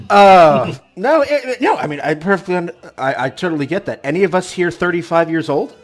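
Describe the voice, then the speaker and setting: Slightly high-voiced, laughing podcast host. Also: male voice, expressive, soft background music. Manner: laughs often, halting, hands turns to others. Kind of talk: casual panel discussion.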